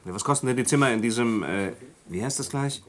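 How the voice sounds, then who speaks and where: An actor in a 1960s radio play playing an indignant foreign tourist, irritable and sarcastic. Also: male voice, mid-range voice, fast talker, lightly acted. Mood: exasperated. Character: indignant, sarcastic male foreign tourist who feels cheated.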